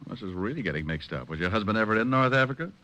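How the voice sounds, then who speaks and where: A man playing a sharp, confident, needling private detective in old-time radio style, speaking in a punchy, wry way. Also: lowish voice, animated, racing. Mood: brisk, probing. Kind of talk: 1940s-50s radio detective acting.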